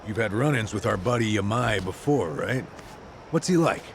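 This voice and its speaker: Gravelly, wry gangster ally. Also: male voice, fairly low pitch, lively intonation, moderate pace, a little hiss or hum. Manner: wry, folksy, teasing. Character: wry, seasoned gangster ally in an action game. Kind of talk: video game voice acting.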